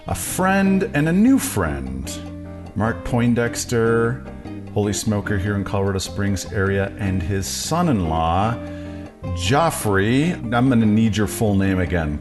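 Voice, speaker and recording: A man hosts a relaxed podcast chat from a home lounge, asking short follow-up questions. His voice is very low, with faint music behind him. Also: slow pace. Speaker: podcast host. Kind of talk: casual podcast interview.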